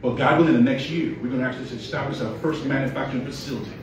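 A middle-aged Nigerian-accented man speaks with earnest storytelling drive and builds to emphatic peaks. He talks at a brisk clip. His voice comes from across a very echoey hall, caught by a phone out in the audience. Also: mid-range voice, far from the mic, background noise. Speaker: award honoree giving a speech. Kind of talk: inspirational speech. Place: large hall at an awards event, red carpet. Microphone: handheld mic, filmed by a phone from the audience.

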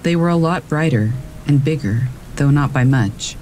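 Man narrating horror stories over soft rain. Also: fairly high pitch, moderate pace, a little hiss or hum, low-quality recording. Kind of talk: scripted narration of reader submissions. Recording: faint rain in the background.

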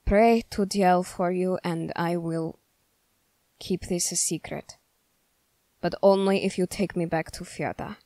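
A woman voicing a stern, wary young male soldier in measured, formal, halting phrases for an ASMR roleplay. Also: on the low side, creaky, mellow, no room sound. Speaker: roleplay voice performer. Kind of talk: ASMR character roleplay addressed to the listener.

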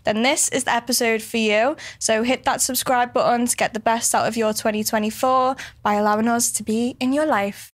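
A woman's voice: fairly high pitch, steady tone, fairly quick, hardly any pauses, dry recording. Chatty young woman hosting a podcast. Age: 20s. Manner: chatty, laughs often. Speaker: podcast host. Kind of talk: casual chat.

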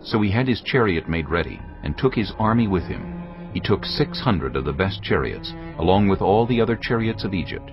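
Brief: male narrator, very deep voice, grave and measured, loud score, muffled. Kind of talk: scripted Bible narration. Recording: dull sound under loud music.

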